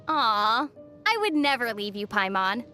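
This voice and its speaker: A woman playing a young female adventurer in a video game, gentle and fondly teasing. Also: fairly high pitch, sing-song, a little slow, over music. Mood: affectionate. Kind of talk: video game character dialogue.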